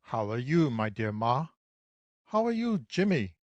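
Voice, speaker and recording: A man's voice: mid-range voice, big pitch swings, measured pace, a little mellow, no echo, lo-fi sound. An actor playing an effusively courteous young foreign baron in his thirties, with a thick guttural voice, in a satirical audio play. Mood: gushing courtesy. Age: 30s. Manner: gushing, formal greetings.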